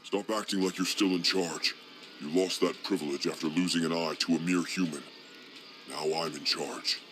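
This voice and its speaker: A man voicing a scheming demon warlord in a game cutscene, speaking in a smug, slow, menacing manner. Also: deep voice, fairly even intonation, bright, forceful tone, faint music behind. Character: scheming male demon warlord, cold and commanding. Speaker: villain leader.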